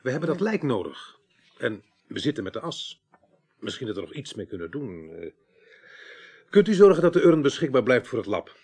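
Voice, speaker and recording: An actor playing a practical, matter-of-fact investigator in a radio play, probing with plain questions. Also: male voice, medium pitch, very animated, brisk, long pauses, a little mellow, husky. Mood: practical, dry. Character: brisk, practical male investigator questioning a witness. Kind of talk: 1980s Dutch radio play acting.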